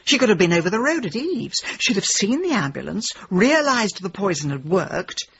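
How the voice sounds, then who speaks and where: Animated, creaky-voiced British lady sleuth. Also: mid-range voice, muffled recording, full character voice. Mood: speculating. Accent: British English. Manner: brisk, emphatic, cheeky. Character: nosy, brash middle-aged woman amateur sleuth. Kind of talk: radio drama acting.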